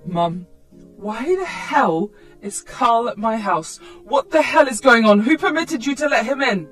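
A woman's voice: fairly high pitch, expressive, measured pace, faint music behind. A woman narrator voicing an anxious, indignant young mother in a dramatic story, emotional and protesting. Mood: angry, indignant.

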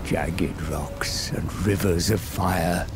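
Cunning old seer for a fantasy game: grave dramatic storyteller, lowish voice, unhurried, music behind. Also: male voice, steady tone. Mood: ominous storytelling. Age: older.

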